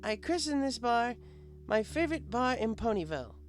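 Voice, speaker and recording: A man voicing an imperious, excitable pony princess in a story reading, with commanding, archaic royal speech. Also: very high voice, moderate pace, a little hiss or hum, pitched above the performer's own voice. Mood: grand, commanding.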